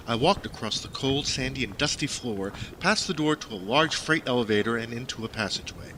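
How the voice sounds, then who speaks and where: Man narrating surreal stories with lively, swinging pitch. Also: a little hiss or hum. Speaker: author narrating own audiobook. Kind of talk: scripted first-person literary narration.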